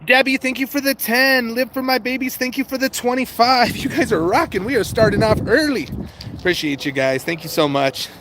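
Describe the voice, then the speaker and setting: A man with a fairly high voice hosts a casual livestream, speaking informally and directly to his viewers with some swearing. He is out and about, with clear outdoor and vehicle noise behind him. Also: a little fast. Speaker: livestream host chatting with viewers. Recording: noisy outdoor street and vehicle background.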